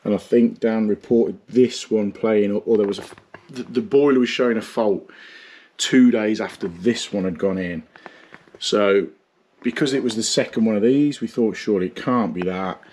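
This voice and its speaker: A man's voice: fairly low pitch, moderate pace, a little mellow, husky. Stressed plumber vlogging from his van. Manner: frank, swearing, stressed and rambling. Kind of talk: casual vlog with job commentary. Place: parked van, then a small bathroom.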